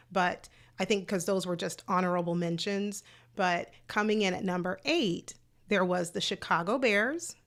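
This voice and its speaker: A woman hosting a live sports talk stream, chatty, greeting viewers in the chat by name, her voice slightly hoarse. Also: fairly low pitch, fairly bright tone. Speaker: host.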